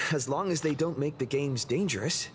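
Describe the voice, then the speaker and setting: Young male hero, game, man acting: modest, earnest, lively intonation, slightly high voice. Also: normal speed, slight background noise, close to an everyday voice. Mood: polite, slightly awkward.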